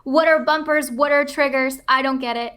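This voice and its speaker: A woman panelist on a casual gaming chat show, talking in a bubbly, excitable and self-mocking way. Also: high voice, moderate pace. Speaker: regular panelist. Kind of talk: casual chat about games.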